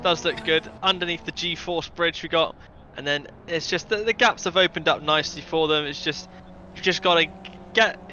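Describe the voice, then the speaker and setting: A man breaks down an online race in a bright, sharp voice that sits fairly high. His sound is slightly dull and lo-fi, with faint noise behind it. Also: normal speed. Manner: chatty, analytical, teasing. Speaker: co-commentator. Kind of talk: sim racing color commentary.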